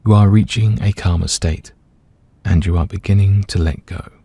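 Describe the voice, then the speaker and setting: A man gently guiding a meditation, giving soothing, unhurried instructions with pauses between phrases. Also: low voice, steady tone, creaky, husky, no room sound. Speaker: meditation guide. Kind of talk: guided meditation narration.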